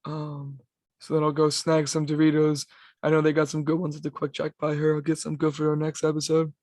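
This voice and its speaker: Raspy young guy, dry close mic. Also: on the high side, completely flat delivery, fairly quick, darkish tone, no echo. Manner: laid-back slangy talk. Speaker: podcast co-host. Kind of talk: casual chat.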